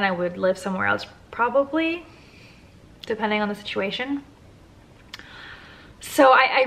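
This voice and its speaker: A woman's voice: medium pitch, vocal fry, fairly bright tone. A woman in her thirties answering viewer questions to camera from her living room, candid and chatty with lots of "like" fillers. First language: English. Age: 30s. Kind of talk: casual Q&A chat to camera. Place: living room at home, indoors. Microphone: camera at close range.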